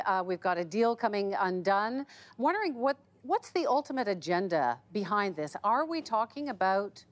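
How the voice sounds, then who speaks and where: A woman working as a news presenter, delivering scripted reports and putting questions to guests in a broadcast style. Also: on the high side.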